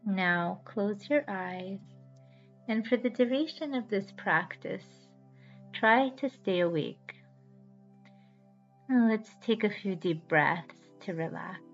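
A woman leads a guided relaxation in a soothing, unhurried voice at a middling pitch. She gives each instruction slowly and leaves deliberate pauses. The sound is a little dull, with music playing behind her. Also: expressive. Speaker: yoga nidra guide and podcast host. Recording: slightly dull audio with background music.

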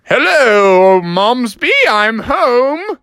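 Man playing a jovial, lively frog dad. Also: a little high, normal speed, no echo, studio quality, pitched above the performer's own voice. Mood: cheery. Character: enthusiastic frog father, breezy and insistent. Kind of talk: improvised children's storytelling.

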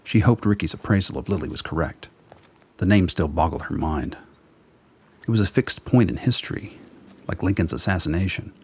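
Deep voiced guy narrating a radio play, rough husky tone. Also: animated, moderate pace.

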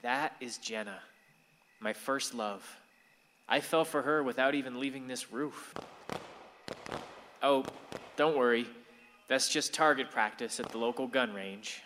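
A man's voice: mid-range voice, measured pace, pauses often, pushed and bright. A man playing a wistful, awkward young man who confides his thoughts in a radio play. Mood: wry, confiding. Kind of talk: radio play monologue and dialogue. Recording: reverberant hall sound.

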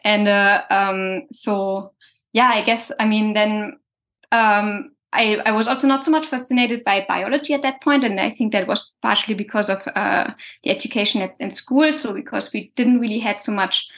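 A woman's voice: mid-range voice, fairly even intonation, normal speed, fairly bright tone. A woman, answering interview questions in a hesitant way with many fillers and restarts. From Germany. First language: German. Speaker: guest scientist.

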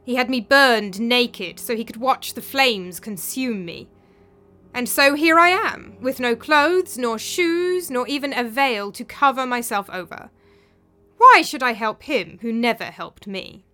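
A scornful dead queen's ghost, played by a woman narrator, speaks in a high, bright voice. She is imperious and accusing, full of theatrical bitterness, with faint music behind her. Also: unhurried. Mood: scornful, bitter.

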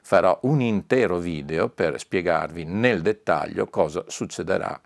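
A low-pitched man delivers an informal promotional announcement. He is emphatic and deliberate, often repeating a key word to underline it. The sound is dry and close, with very little room. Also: creaky, no room sound. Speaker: record label owner presenting news.

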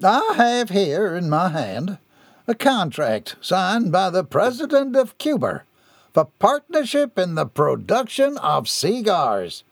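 A man voicing a commanding ship's captain who speaks in a grand, deliberate way, as if addressing a crowd. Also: high voice, huge pitch swings, vocal fry, no room sound, voice pushed up, full character voice. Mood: proud.

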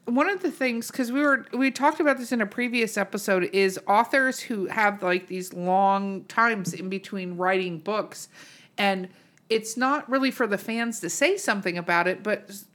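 A woman's voice: medium pitch, moderate pace, fairly bright tone. A woman hosting a podcast in casual chat, irreverent and swearing freely. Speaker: podcast host.

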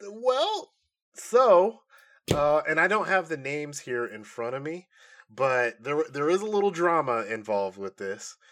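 A man hosting a podcast, explaining things in a casual, animated and informal way. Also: on the high side, unhurried, a little hiss or hum. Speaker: podcast host. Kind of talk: casual nerd-news podcast chat.

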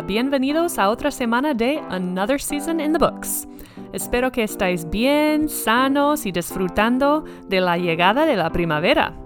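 Bilingual woman podcast host, higher voice. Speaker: podcast host, former basketball player. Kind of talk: scripted intro then casual interview.

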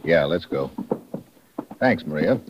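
An actor playing a tough, laconic American investigator in a 1950s radio drama. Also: male voice, rumbling low, animated, slow, heavily acted. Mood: wry, businesslike. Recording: dull, noisy old radio recording.

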